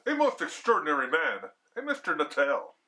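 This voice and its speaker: A man voicing a brisk, cheerful, gushing hostess lady in a story reading. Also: fairly high pitch, huge pitch swings, sharp tone, exaggerated voice. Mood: indignant. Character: brisk, chattering middle-class hostess lady.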